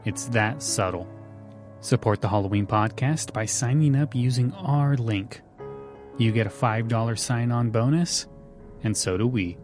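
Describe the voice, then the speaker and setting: A man's voice: mid-range voice, a little slow, darkish tone, soft background music. A man hosting a podcast in a casual, conversational style. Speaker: podcast host.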